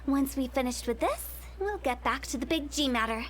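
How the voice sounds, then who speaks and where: A woman's voice: high-pitched, animated, normal speed, noisy background. A woman voicing a playful young female funeral parlor director in a video game, breezy and teasing as she explains things. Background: game music.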